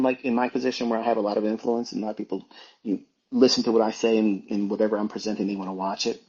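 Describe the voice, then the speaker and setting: Male educator, informal monologue. Husky, emphatic, admonishing delivery with repeated phrases, on a dull lo-fi recording. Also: medium pitch, a little mellow. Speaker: trading educator hosting an online audio talk. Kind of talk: informal unscripted monologue, lecturing.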